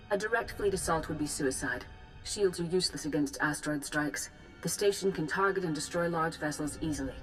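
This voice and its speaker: A woman playing a composed, authoritative Jedi master in a video game, speaking in a measured, formal and grave way. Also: low voice, close to an everyday voice, low-quality recording. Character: composed, authoritative female Jedi master giving mission briefings. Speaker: military commander briefing volunteers. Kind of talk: formal scripted game dialogue.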